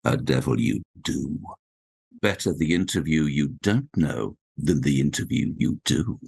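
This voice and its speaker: A man voicing a sly, sinister devil from a video game, with a gravelly voice. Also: rumbling low, expressive, a little slow, darkish tone, heavily acted. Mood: sly menace. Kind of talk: video game character acting.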